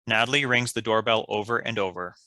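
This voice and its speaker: A man with a lowish, very bright and forceful voice narrates stage directions from a script. The sound is close and dry. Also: a little fast, no room sound, poor audio.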